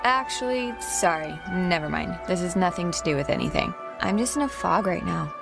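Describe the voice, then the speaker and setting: A woman's voice: fairly low pitch, lively intonation, moderate pace, vocal fry. Hesitant, apologetic American teenage girl. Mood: apologetic, wistful. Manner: hesitant, trailing off, self-correcting. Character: shy, apologetic, laid-back teenage girl. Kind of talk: naturalistic conversational video game acting. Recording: game soundtrack music under the voice. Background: music.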